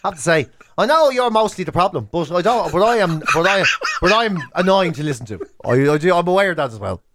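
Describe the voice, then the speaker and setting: A man's voice: high voice, expressive, fast talker, a bit bright, slight background noise. An Irish man co-hosting a radio show with comic banter, emphatic and given to repeating himself. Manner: mock outrage, emphatic repetition.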